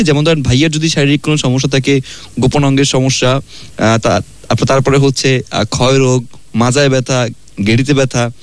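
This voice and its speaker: A man's voice: medium pitch, no room sound. A man hosting a radio prank call, posing as a salesman with pushy, rambling patter from a studio. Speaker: radio host.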